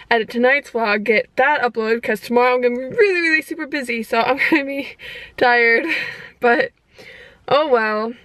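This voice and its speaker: A young woman talking casually and candidly in a personal daily vlog, rambling a little and hoarse from a long day of talking. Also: fairly high pitch, pushed and bright. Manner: rambling, candid, self-deprecating. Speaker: vlogger.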